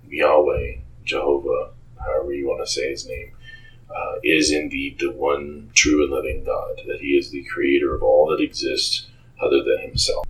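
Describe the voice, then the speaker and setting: Very deep, slow-spoken man. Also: a bit bright, rough recording. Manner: halting, measured, earnest advice-giving. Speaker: game creator and author being interviewed. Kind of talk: reflective interview answers.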